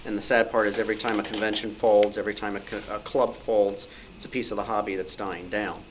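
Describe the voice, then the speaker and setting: A man's voice: on the low side, level tone, fast. A man speaking off the cuff as a panelist at a club meeting of radio hobbyists. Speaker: panelist, old-time radio fan. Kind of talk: unscripted panel discussion. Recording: noisy live meeting room recording.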